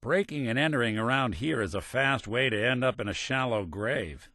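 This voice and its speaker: An actor playing a world-weary, wisecracking android detective in a video game, hardboiled but kind, with dry old-fashioned gumshoe delivery. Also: male voice, mid-range voice, lively intonation, moderate pace, pushed and bright, no room sound. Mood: stern warning. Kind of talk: game character voice lines.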